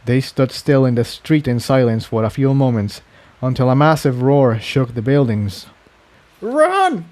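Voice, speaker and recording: A man reads a scripted adventure tale aloud as its narrator. His voice sits at a middle pitch. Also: steady tone, moderate pace, dark tone, no echo. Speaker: fan fiction narrator. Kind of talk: scripted story narration, audiobook reading.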